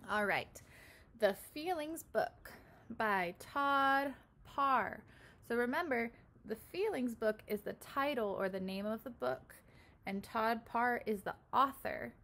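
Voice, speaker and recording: A woman's voice: a little high, measured pace. Young woman reading aloud to small children. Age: 20s. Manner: simple, expressive explaining to small children. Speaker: pre-kindergarten teacher. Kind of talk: picture-book read-aloud with questions to young children. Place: small room at home, indoors.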